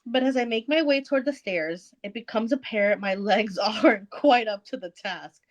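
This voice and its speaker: A woman's voice: a little high, normal speed, dull audio. A woman co-hosting a book club podcast, reading aloud dramatically and casually, breaking off to comment and swear.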